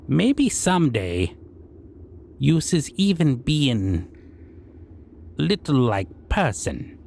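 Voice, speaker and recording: A man's voice: medium pitch, creaky, darkish tone, slight background noise, exaggerated voice. A man voicing a civilized goblin king in an audio roleplay, sly and menacing yet amused, musing in broken grammar with hums. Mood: scheming, smug. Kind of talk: character audio roleplay addressed to listener.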